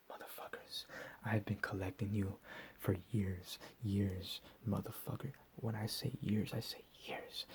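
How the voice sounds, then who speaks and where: A man playing a deranged, menacing captor in a whispered ASMR roleplay, profane and slow with drawn-out emphasis. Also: on the low side, lightly acted. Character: deranged menacing male captor, recently escaped asylum.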